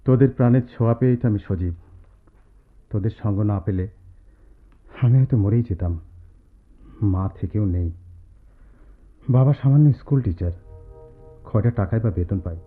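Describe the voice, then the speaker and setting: An actor plays a studious, soft-mannered young man in an old-style radio play. He tells his story reflectively, with dramatic pauses. The sound is tinny, with music clearly playing behind him. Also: fairly low pitch, close to an everyday voice. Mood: wistful. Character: quiet, studious young man recalling his student hostel days. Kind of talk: Bengali radio drama acting.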